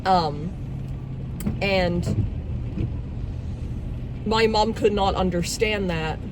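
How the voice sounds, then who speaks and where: A woman's voice: medium pitch, drawn-out words. A young woman from Scotland telling her own story in a casual vlog, hesitant, with many "um"s and restarts, speaking into a phone while in a car in traffic. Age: young adult. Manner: hesitant, frequent "um" and "basically", self-correcting. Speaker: vlogger. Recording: loud road and traffic noise in a car. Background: vehicle and traffic noise.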